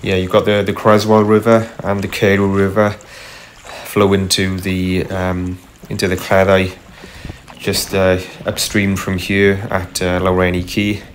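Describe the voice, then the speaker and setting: A man narrating casually as he paddles a kayak on a river, unhurried, with frequent ums and uhs. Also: deep voice, monotone, slight background noise. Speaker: kayaker vlogger. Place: kayak on a tidal river outdoors. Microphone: camera mounted on the kayak.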